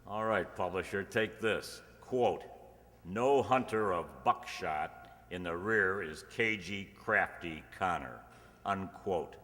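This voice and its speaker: A man's voice: sits low, slow pace, pushed and bright, full character voice. A man playing a sardonic, indignant magazine writer in an old radio-play style. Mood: sardonic, defiant. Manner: sardonic, protesting. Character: cynical, indignant young male magazine writer. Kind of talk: 1940s radio acting. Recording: echoey hall with long reverb.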